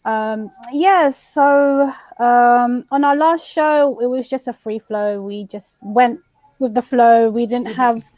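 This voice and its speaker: Higher-voiced woman, slow casual chat. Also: darkish tone, dry recording, rough recording. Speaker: co-host of a livestream talk show. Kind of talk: casual unscripted livestream chat.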